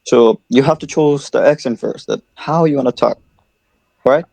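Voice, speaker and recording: A man's voice: medium pitch, normal speed, creaky, a little mellow, no echo. A man giving casual advice on an online voice call, often repeating his words. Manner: repeats words while advising.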